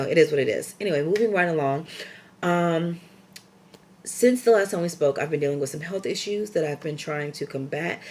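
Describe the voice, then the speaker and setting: Raspy-voiced woman chatting casually. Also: low-pitched. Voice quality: scratchy, raspy. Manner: rambling, lots of ums and asides. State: recovering from a cold. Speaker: vlogger. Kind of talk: casual pregnancy update vlog.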